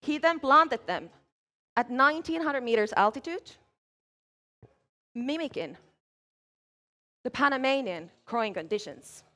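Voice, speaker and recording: Woman, barista competitor, measured presenting, slightly high voice. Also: lively intonation, bright, forceful tone. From Finland. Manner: measured, clear presenting while working. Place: large event hall, live competition.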